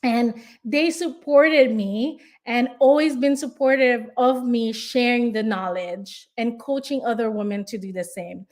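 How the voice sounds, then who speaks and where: A woman's voice: on the high side, a little slow, hardly any pauses, a little mellow. A Filipino-accented woman from the Philippines, a real estate investor and coach, giving an earnest, encouraging motivational speech, restarting and repeating phrases as she goes. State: tired.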